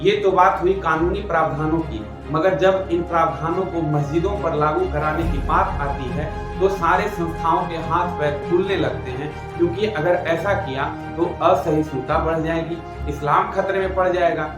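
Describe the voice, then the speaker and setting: Male news commentary narrator, higher voice, formal and emphatic delivery, music underneath. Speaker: presenter. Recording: roomy echo with music behind. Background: background music.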